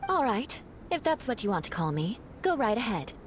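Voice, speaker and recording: A woman's voice: fairly high pitch, very animated, moderate pace, a little hiss or hum. An actress playing a reserved, aristocratic female knight captain who speaks formally and with composure. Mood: gracious. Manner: formal, composed, slightly aloof.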